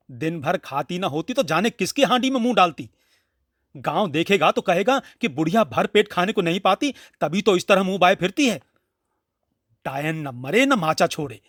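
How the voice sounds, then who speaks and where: A man's voice: sits high, sing-song, normal speed, dry recording, clean studio sound, voice pushed up. A man voicing an angry village housewife, harsh and taunting as in a storybook reading. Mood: furious, scornful. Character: angry scolding village housewife berating an old woman.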